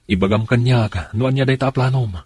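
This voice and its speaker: A man with a very husky, rough voice playing an easygoing, colloquial driver in a radio drama. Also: mid-range voice, fast, darkish tone, no room sound, lightly acted. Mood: cooperative. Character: easygoing male driver helping friends with a scheme.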